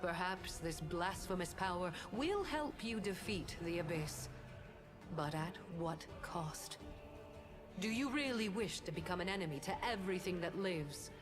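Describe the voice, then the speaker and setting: A woman's voice: lowish voice, expressive, measured pace. A woman voicing a stern, righteous figure in a fantasy game, formal and reproachful, with music playing behind her. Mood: grave condemnation. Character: stern, righteous woman condemning an evil path.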